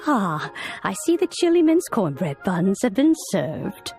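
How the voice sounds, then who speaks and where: A woman voicing a wise, kindly old granny master in a video game, with an aged voice and measured, knowing manner. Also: medium pitch, very animated. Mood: knowing, calm. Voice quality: aged, creaky. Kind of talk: video game character dialogue. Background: music.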